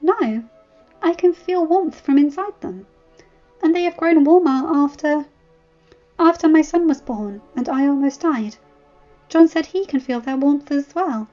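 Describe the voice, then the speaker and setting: A woman plays a young highborn lady of a fantasy storybook, commanding yet curious. Her voice is very high and soft in tone, her words formal and earnest. The audio is a little dull, with music behind. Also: expressive, measured pace. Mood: eager, curious.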